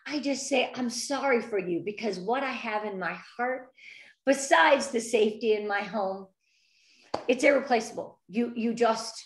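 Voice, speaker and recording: A woman's voice: a little high, moderate pace, roomy sound. A woman in her fifties, an interview guest, talking in an effusive, earnest way with nervous energy. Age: 50s. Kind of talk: emotional heartfelt interview.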